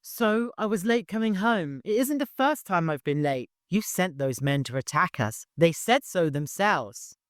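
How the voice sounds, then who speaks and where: Shaken young heroine, performed by a male narrator: pitched up, defiant, edging on hysterical, dry audiobook sound. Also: lively intonation, unhurried, few pauses, a bit bright, no echo. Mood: defensive. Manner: hysterical edge, protesting. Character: shaken, defiant young woman defending herself.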